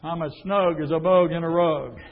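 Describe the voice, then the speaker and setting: A man preaching a fiery sermon, dropping to a low murmur and then rising to a shout. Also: fairly even intonation, normal speed. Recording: tinny phone-like sound.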